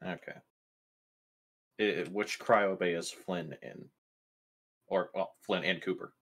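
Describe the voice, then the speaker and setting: A man's voice: low voice, slow, fairly bright tone. A man casually asking questions as a player in a tabletop roleplaying game.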